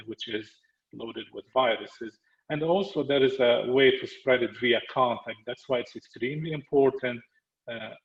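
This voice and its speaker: A man's voice: mid-range voice, a bit bright. Male medical presenter with a Lebanese accent for a webinar talk; hesitant phrasing, reverberant lo-fi sound. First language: Arabic. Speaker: medical lecturer, pulmonologist. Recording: echoey, dull muffled webinar audio.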